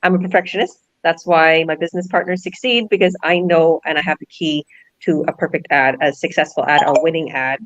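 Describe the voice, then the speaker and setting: Woman, business coach, emphatic, repeats key phrases, low voice, dull dry sound. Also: fairly even intonation, brisk, no echo. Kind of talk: motivational coaching talk.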